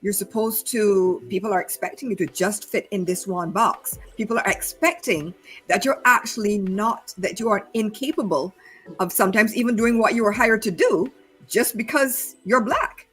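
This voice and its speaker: A woman from Barbados with a Barbadian accent, reflecting on personal experience in a panel discussion, deliberate and emphatic in her repetition. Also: medium pitch, a bit bright, soft background music, rough recording. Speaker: panel speaker.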